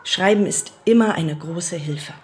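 A woman playing an encouraging, soothing counsellor in a radio drama, reassuring and measured. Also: on the low side, natural voice.

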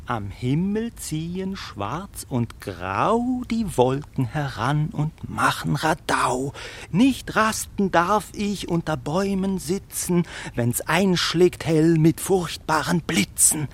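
A man's voice: on the high side, animated, exaggerated voice. A man playing a plucky but anxious little fairy-tale tailor with theatrical delivery in a children's radio play. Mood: reciting solemnly. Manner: theatrical, deliberate when reciting.

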